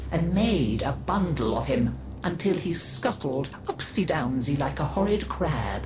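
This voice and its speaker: A woman's voice: deep voice, background noise, full character voice. A woman playing a theatrical old grandmother who narrates gruesome tales for a video game, savouring each phrase dramatically. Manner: savouring, dramatic, drawn-out delivery.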